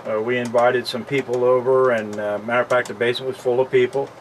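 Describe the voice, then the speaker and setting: A man from Lebanon County, Pennsylvania, a model train collector, reminiscing casually in his basement in a relaxed, rambling way with lots of fillers. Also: mid-range voice, fast talker, low-quality recording. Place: home basement with train layout. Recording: faint background noise.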